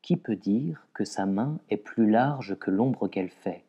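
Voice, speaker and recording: A man reciting poetry in a measured way, pausing between short phrases. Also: fairly low pitch, expressive, breathy edge, highs cut off. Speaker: poetry reader.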